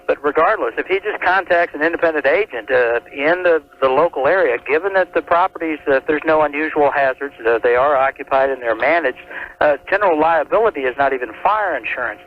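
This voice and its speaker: An American man calling a radio show by cellular phone, explaining things in a hesitant, halting way. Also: fairly high pitch, expressive, muffled recording, a little hiss or hum. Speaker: insurance agent calling in.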